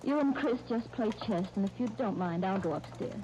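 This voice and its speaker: A woman's voice: mid-range voice, expressive, a little fast, mellow, lightly acted. A woman playing a weary, withdrawn young wife in a 1930s radio serial, polite and subdued. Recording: noisy 1930s radio broadcast disc.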